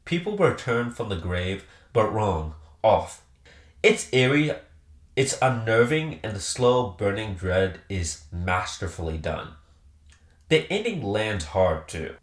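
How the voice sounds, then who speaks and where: A man's voice: fairly low pitch, very animated, takes it slowly, reverberant. A man presenting a scripted countdown review with emphatic, enthusiastic delivery. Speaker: host presenting a ranked countdown.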